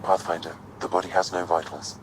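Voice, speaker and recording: An actor playing a calm, precise male artificial intelligence in a video game, speaking in a measured, formal, unemotional way. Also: low voice, a little hiss or hum, close to an everyday voice, poor audio. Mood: neutral. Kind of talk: video game cutscene acting.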